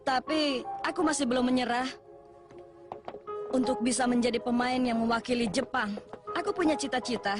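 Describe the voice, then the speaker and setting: A woman's voice: a little high, close to an everyday voice. A woman voicing an earnest, resolute boy footballer in a dubbed sports anime, with dramatic delivery. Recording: music behind the voice.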